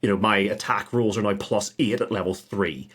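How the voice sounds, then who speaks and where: A man's voice: fairly low pitch, fairly even intonation, moderate pace, fairly bright tone, slightly rough. A man chatting informally as a podcast guest, telling gaming anecdotes and jokily acting out mock quotes. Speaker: podcast guest, game master. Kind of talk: casual chat, animated anecdotes with mimicked quotes.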